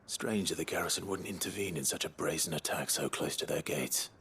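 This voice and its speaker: An actor playing a stoic, earnest young nobleman swordsman in a video game, speaking tersely and gravely with a British accent. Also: brisk, a bit bright, slight background noise, full character voice. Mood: stern. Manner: low-key, terse, grave.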